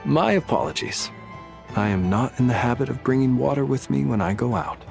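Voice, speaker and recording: A courteous, composed game character, a steady comrade who encourages with formal phrasing. His mid-pitched voice has a gravelly creak, with light music behind it. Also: fairly quick. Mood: courteous, earnest. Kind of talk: video game voice acting.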